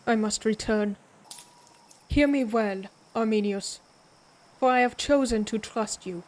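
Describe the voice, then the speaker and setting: A woman playing a proud, menacing vampire warrior in an audio roleplay, speaking deliberately with an imperious, taunting manner. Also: on the high side, darkish tone, natural voice, rough recording. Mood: solemn warning.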